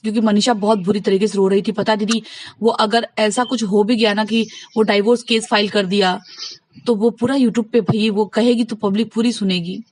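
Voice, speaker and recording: A woman's voice: medium pitch, fairly even intonation, normal speed, a little mellow, no echo, low-quality recording. A woman in a casual, gossipy phone conversation, rambling earnestly as she retells exchanges and gives advice. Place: phone call recording.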